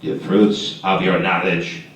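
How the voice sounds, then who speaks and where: A man's voice: low voice, flat delivery, slow pace, fairly bright tone. A man voicing a sleepy, hungry, grumbling male layabout in a live puppet show. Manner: grumbling, drowsy, blunt. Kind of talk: live shadow puppet theatre. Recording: echoey hall, distant mic.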